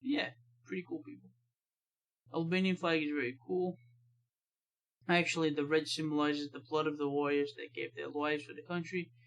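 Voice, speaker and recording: A man's voice: fairly high pitch, moderate pace, long pauses. An American man hosting a reaction video, chatting casually and reading comments aloud with laid-back, offhand asides. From United States.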